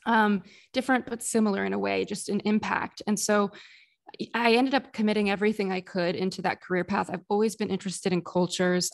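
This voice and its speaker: A woman's voice: mid-range voice, creaky. A woman in her thirties, a career coach, telling her story fluently as a podcast guest. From United States. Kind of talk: casual interview storytelling.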